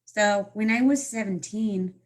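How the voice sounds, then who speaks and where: A woman, telling her life story as a guest speaker on a video call, pausing often with fillers and restarts. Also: mid-range voice. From Morocco. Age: 30s. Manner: halting, many fillers and restarts. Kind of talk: personal life story talk.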